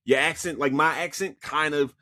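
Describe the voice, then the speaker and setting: A man's voice: on the high side, moderate pace, dry recording, studio quality. A man with a Philadelphia accent hosting a podcast alone, chatting casually about shows he watched, cursing freely and restarting his sentences often. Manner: animated, swears freely, frequent restarts and stammered repeats. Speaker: podcast host. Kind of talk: casual solo review chat.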